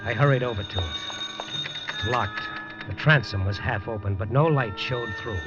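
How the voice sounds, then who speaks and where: A man's voice: on the low side, a little slow, natural voice. An actor narrating as a wisecracking male newspaper reporter in a 1950s radio drama, dry and hardboiled. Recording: dull lo-fi 1950s radio broadcast with music.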